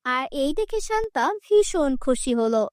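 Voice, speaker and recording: Very high-pitched woman telling a story. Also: very animated, normal speed, a little mellow, no echo, clean studio sound. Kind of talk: scripted cartoon story narration.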